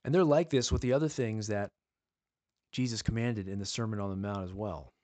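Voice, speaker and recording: A man giving an earnest sermon-style teaching, asking his listeners pleading rhetorical questions and slowing down to stress key words. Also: lowish voice, darkish tone, no room sound.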